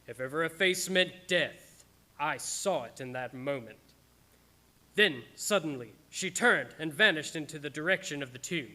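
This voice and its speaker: Man playing bright-voiced, haunted doctor. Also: fairly high pitch, expressive. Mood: tense dread. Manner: formal, measured, theatrical. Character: earnest, haunted male doctor narrating his grim diary. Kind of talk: dramatic radio play narration.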